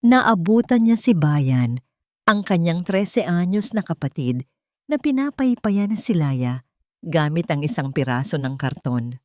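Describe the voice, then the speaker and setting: A woman with a Filipino accent narrating a scripted story in a measured, dramatic way. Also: on the low side, lively intonation, warm tone, dry recording. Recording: dry studio voice over background music. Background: background music.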